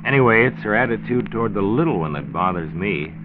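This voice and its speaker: A man's voice: on the low side, expressive, quick pace, slight background noise, natural voice. Man acting as earnest 1940s radio-drama doctor, tinny recording. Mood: earnest, instructive. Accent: American. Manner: explanatory, emphatic, didactic. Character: earnest married male doctor, health commissioner, firm and concerned.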